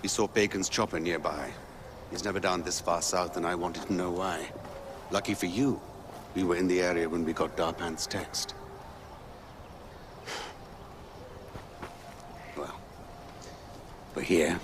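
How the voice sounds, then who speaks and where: An actor playing an earnest, measured rebel commander in a video game cutscene. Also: male voice, low voice, fairly even intonation. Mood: warm, grave. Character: earnest rebel commander, welcoming but harried by war. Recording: noisy game soundtrack with outdoor ambience.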